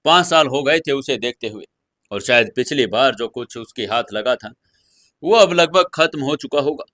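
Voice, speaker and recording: Male audio story narrator, medium pitch, scripted romantic drama, dramatized delivery, dry recording. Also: animated, normal speed, a bit bright, no room sound.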